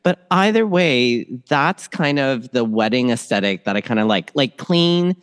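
A man born in the Philippines and raised in Canada, co-hosting a podcast in a relaxed, musing way. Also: medium pitch, unhurried, clean tone. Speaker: podcast co-host. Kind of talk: casual chat.

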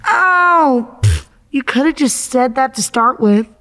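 Woman doing confused exasperated accident victim in an audio drama, high voice, slow pace, sarcastic and dramatic. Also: lively intonation, fairly bright tone, heavily acted. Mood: incredulous. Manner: sarcastic, dramatic, casual slangy speech. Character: confused, exasperated accident victim stuck in afterlife waiting room.

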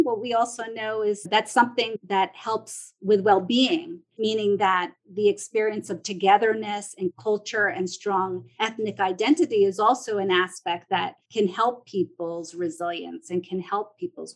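Female interview guest, medium pitch, thoughtful and explanatory, unscripted expert delivery.

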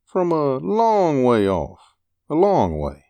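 Woman voicing a weary, halting drifter man, swinging pitch. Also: huge pitch swings, warm tone, no room sound, exaggerated voice. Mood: dazed. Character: weary, hungry drifter man, vague but proud handyman.

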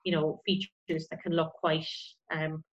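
Female archaeologist as interview guest, lower voice, explanatory and conversational, slightly dull lo-fi sound. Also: little pitch movement, unhurried.

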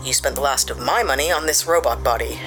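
A woman's voice: a bass voice, fast, music in the background. A woman playing a sarcastic space captain. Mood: defensive. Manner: curt and sarcastic, snaps insults. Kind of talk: audio drama acting.

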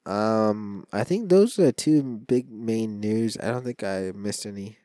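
A man's voice: lowish voice, a little slow, dark tone, no echo, studio quality. A man co-hosting a casual sports podcast, his voice stuffy from allergies, rambling and joking with frequent restarts. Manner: rambling, jokey, restarts often. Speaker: podcast co-host.

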